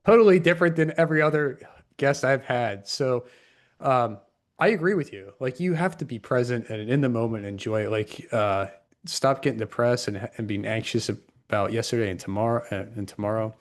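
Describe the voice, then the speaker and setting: A man's voice: medium pitch, normal speed. A man hosting a podcast, talking loosely with lots of fillers and restarts. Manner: loose and rambling, many fillers. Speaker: podcast host. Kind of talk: casual podcast interview.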